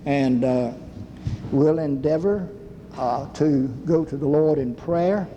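Man, church preacher, very slow, low voice, scriptural phrasing, echoey church hall, faint noise. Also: dark tone, lo-fi sound. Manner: slow, deliberate, old-fashioned scriptural phrasing. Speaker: preacher leading a church service. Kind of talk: slow church sermon and prayer.

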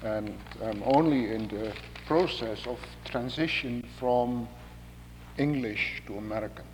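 A man from Indonesia with an Indonesian accent, his first language, preaching a sermon in measured, deliberate phrases with dry humor. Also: mid-range voice. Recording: long hall reverb with faint hum.

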